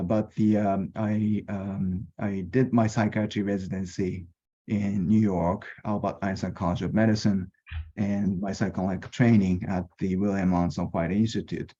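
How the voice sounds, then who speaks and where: Hesitant Japanese-accented man, low and mellow. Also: breathy edge. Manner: hesitant, many pauses and fillers. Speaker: board candidate. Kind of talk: webinar candidate presentation. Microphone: video call. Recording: dull lo-fi video call audio.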